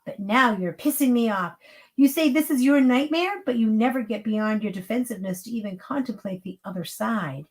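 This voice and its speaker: A woman's voice: a little high, normal speed, close to an everyday voice. A woman voicing a mocking, scolding troll who torments a writer in a story reading. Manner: chiding, mocking.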